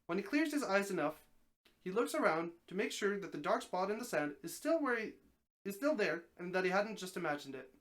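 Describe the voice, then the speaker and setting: A mid-range voice reads a story aloud in a live, dramatic style. The narration is expressive, and the reader now and then trips over a phrase and takes it again. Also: mid-range voice, brisk. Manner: expressive narration, occasional stumbles and repeated phrases. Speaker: streamer reading a story aloud.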